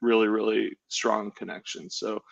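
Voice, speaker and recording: A man's voice: lowish voice, level tone, normal speed, gravelly, no echo. Man, dull lo-fi call audio. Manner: enthusiastic, rambling with many fillers and restarts. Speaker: podcast co-host. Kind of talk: casual podcast chat.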